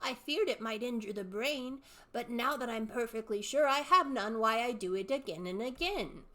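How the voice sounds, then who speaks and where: An eccentric old sage, played by a woman, recites storybook verse in a fairly high voice. He sounds self-satisfied and testy as he answers. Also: lively intonation, moderate pace, sharp tone. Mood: boastful. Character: old grey-haired father, boastful, eccentric, testy sage.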